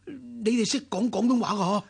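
A man's voice: very high-pitched, a little fast, gravelly, slightly rough, exaggerated voice. An actor playing a conniving male robber who feigns injury in a radio drama, with a Hong Kong Cantonese accent. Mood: feigned helplessness. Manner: hesitant groaning that turns to taunting.

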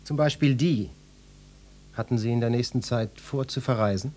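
An actor playing a pompous, insinuating police detective who questions people in florid, officious language in a satirical radio play. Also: male voice, medium pitch, normal speed, mellow, natural voice. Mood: probing. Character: pompous, nosy, lecherous police detective interrogating a housewife.

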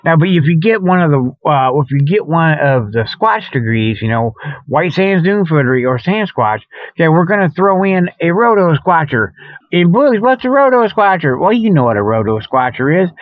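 A man hosting a comedy radio show, ad-libbing a mock sales pitch in folksy, repetitive huckster patter. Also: big pitch swings, moderate pace, no room sound, phone-quality sound. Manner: folksy huckster patter, repetitive, chuckles often at own jokes. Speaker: host of a comedic radio report.

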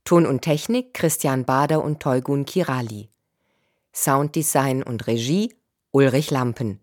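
A woman announcer reading radio credits in a measured, formal way. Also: very deep voice, darkish tone, no room sound, clean studio sound.

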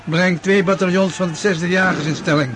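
An actor playing a calm, curt army commander in a radio drama, giving unhurried orders. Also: male voice, sits high, lightly acted. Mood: calm, commanding. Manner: unhurried, says little, tags orders with "eh". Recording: battle noise behind the voice.

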